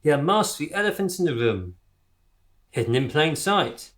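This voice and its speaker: A man's voice: a little high, sing-song, normal speed. An actor playing an earnest, obsessive conspiracy theorist man in a radio drama, declaiming portentously. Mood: portentous, fervent.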